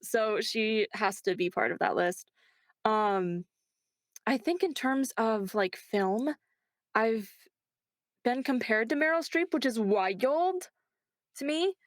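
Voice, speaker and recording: A woman's voice: on the high side, animated, slow, pauses often, no room sound, studio quality. A woman chatting casually as an interview guest, informal and reflective, often checking in as she explains. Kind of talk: casual chat, answering interview questions.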